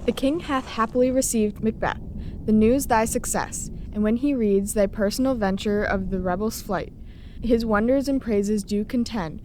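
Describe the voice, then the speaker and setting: A woman's voice: mid-range voice, a little mellow, a little hiss or hum, close to an everyday voice, poor audio. A woman playing a formal royal messenger in a declamatory Shakespeare reading. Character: formal royal messenger praising a war hero. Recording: faint background ambience.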